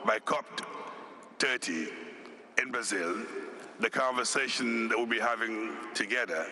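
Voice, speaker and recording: A man from Senegal, an executive director, giving a formal conference speech in a deliberate, measured way, listing his points one by one. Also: lowish voice. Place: conference hall.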